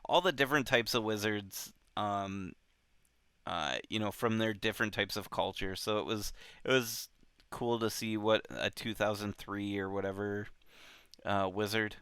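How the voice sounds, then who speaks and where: A man with a fairly low, very clear voice talks casually about a book, close and dry. His pitch moves around a lot as he thinks out loud in informal, halting bursts. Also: steady tone, no echo. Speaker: book club podcast host.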